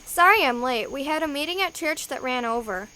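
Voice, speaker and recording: A woman's voice: high voice, expressive, a little fast, pushed and bright. A young female performer playing a plucky, determined girl in an amateur radio drama. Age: child.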